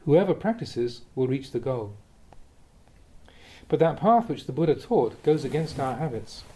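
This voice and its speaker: A man, a Buddhist monk, reading a dharma talk aloud in a measured, reflective way with the occasional soft chuckle. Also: medium pitch, animated.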